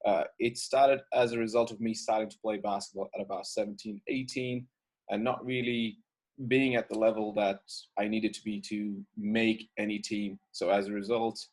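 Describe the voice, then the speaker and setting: Lower-voiced young man. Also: fairly even intonation, darkish tone, rough recording. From Ireland. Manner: measured storytelling with filler words. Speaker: basketball coach, guest. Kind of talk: casual interview answers.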